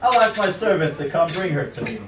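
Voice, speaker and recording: A man's voice: on the high side, very animated, brisk. A man voicing an easygoing, carelessly agreeable king in a puppet show, with a casual, breezy delivery. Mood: genial. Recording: noisy, roomy, distant home recording.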